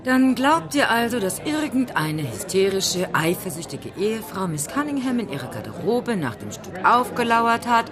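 A woman's voice: on the high side, sing-song, moderate pace, few pauses, crowd noise. An actress playing an opinionated, methodical female amateur sleuth in a German radio play, declamatory and pedantic. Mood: insistent.

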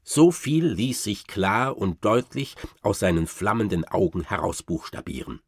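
Radio play role: boastful baron, low husky voice, ornate wry storytelling. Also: male voice, no room sound, clean studio sound. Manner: ornate, deliberate, dryly ironic, expressive. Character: boastful old-time baron telling tall hunting tales. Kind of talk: theatrical first-person storytelling in a radio play.

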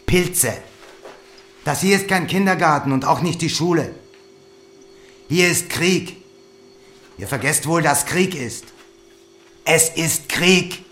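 A man playing a gruff, short-tempered wartime soldier in an audio drama, stern and barking. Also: fairly high pitch, takes it slowly, long pauses, fairly bright tone, slight background noise. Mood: exasperated, angry. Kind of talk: German audio drama acting.

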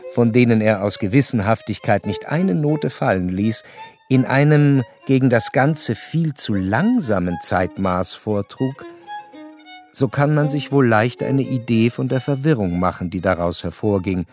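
An actor playing an educated, observant narrator in a literary radio play, speaking in a measured, precise and wry way. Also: male voice, mid-range voice, animated, darkish tone, close to an everyday voice. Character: educated, curious male narrator, observant and wryly detached. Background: violin music.

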